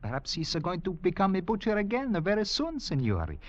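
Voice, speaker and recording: An actor playing a scheming, ingratiating legionnaire informer with an Italian accent, wheedling conspiratorially in broken English in a 1940s radio drama. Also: male voice, sits high, wildly swooping pitch, fairly quick. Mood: sly, insinuating. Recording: muffled vintage radio recording.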